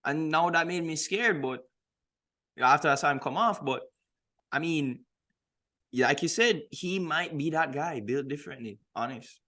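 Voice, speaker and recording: A man's voice: fairly high pitch, moderate pace, pushed and bright. A man co-hosting a casual football podcast, talking in a slangy, emphatic way and repeating words for stress. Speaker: podcast co-host.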